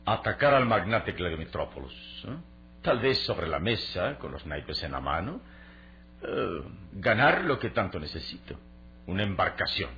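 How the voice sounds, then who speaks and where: An actor playing a suave, confident adventurer hero in a vintage radio drama, with a measured, courteous and calculating delivery. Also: male voice, sits low, very animated, sharp tone, exaggerated voice. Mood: sly, confident. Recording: dull, noisy old radio drama recording.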